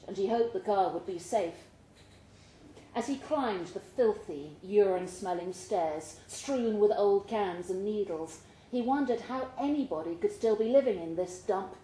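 A woman reading fiction aloud at a live event in an expressive storytelling style. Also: mid-range voice. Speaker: short story reader at a live literary event. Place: indoor pub event room. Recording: roomy pub recording with faint noise.

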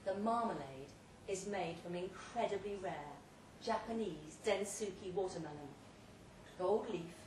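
A woman's voice: lowish voice, unhurried. Woman reading fiction aloud in a roomy space. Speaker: reader of a short story. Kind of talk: dramatic live short story reading. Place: pub event room, read aloud live. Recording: roomy, distant live recording with faint noise.

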